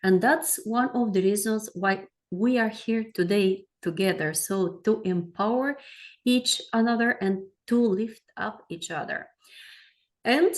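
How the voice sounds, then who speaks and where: A woman's voice: mid-range voice, a little slow, darkish tone. A woman hosting a talk show, introducing her guest in a formal, effusive way. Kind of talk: interview hosting.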